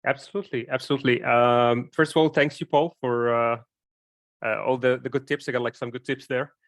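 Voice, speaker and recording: A man's voice: mid-range voice, fairly even intonation, fairly quick, fairly bright tone, dry recording. A man from Egypt, a startup founder, introducing himself in a live online Q&A and talking hesitantly, with many fillers and restarts.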